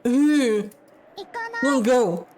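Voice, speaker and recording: A man voicing a small girl who speaks in a high, childlike, sing-song way while reading a story aloud. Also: expressive, drawn-out words, noisy background, heavily acted. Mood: eager. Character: small cheerful girl, strangely calm.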